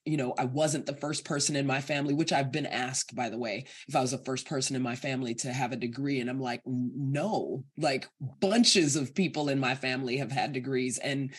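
A woman's voice: rumbling low, fairly quick, few pauses, husky. An American woman speaking as a podcast guest, earnest and emphatic, laughing lightly between points in candid conversation. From United States.

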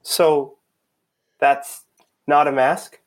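A man's voice: a little high, level tone, very slow. A man playing a hapless young undercover cop, blunt, informal and sullen, in a two-person audio mystery play. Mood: dejected. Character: hapless, disgruntled young male undercover cop, just fired.

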